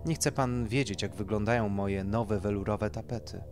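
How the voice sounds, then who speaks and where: A man's voice: lowish voice, moderate pace, music in the background, lightly acted. Man voicing a blasé wealthy middle-aged woman. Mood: matter-of-fact. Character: wealthy, matter-of-fact woman in her forties.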